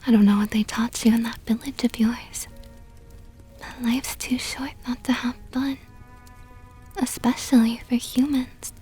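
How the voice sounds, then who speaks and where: A woman playing a seductive, possessive vampire countess in an ASMR roleplay, teasing in a soft whisper with mockingly sweet, coaxing words. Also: fairly high pitch, level tone, measured pace, pauses often, husky, faint music behind. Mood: flirtatious, coaxing.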